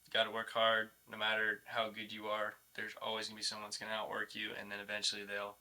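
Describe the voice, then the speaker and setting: A young American man with a lowish, intensely bright voice that stays flat in pitch. He gives casual, matter-of-fact answers as a college athlete on a podcast. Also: fast, poor audio. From West Coast, United States. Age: young adult. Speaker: college baseball player, podcast guest.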